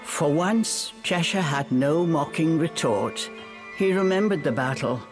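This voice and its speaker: A woman's voice: deep voice, lively intonation, unhurried, faint music behind. A woman reading scripted storybook narration as a dramatic storyteller for a game.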